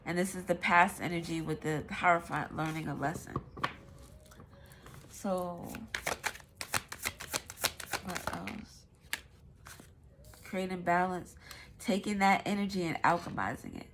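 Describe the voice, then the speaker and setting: A woman's voice: on the low side, takes it slowly, pauses often, noisy background. A woman with a stuffy nose giving a casual, rambling tarot reading, swearing now and then. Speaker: tarot reader.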